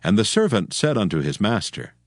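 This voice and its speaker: A man's voice: on the low side, sing-song, brisk, soft background music. A man narrating dramatized scripture in archaic English with a solemn storytelling manner.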